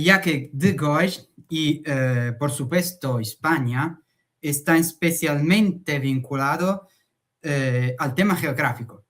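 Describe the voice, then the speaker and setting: Slow, hesitant male academic speaker. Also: a little high. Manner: slow, hesitant, frequent "eh" fillers. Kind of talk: conference talk.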